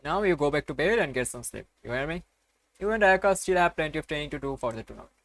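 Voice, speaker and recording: A man's voice: fairly high pitch, expressive, fast talker, a bit bright. A man voicing an anxious mother in a visual novel who soothes her daughter and deflects questions. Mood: reassuring.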